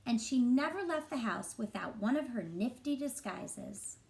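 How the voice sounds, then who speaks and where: A woman reading a picture book aloud to children as a teacher, in an expressive, deliberate and engaging way. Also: on the high side, vocal fry, poor audio. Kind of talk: expressive storybook narration for children.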